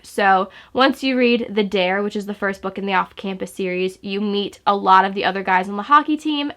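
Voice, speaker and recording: A young woman chats to her viewers at home, upbeat and gushing, with a gravelly edge of fry running through her speech. She sounds a touch stuffy from a sore throat. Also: medium pitch, a bit bright. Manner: gushing, enthusiastic, chatty with fillers. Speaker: book vlogger. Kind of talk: casual book haul chat to camera. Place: home room in front of bookshelves.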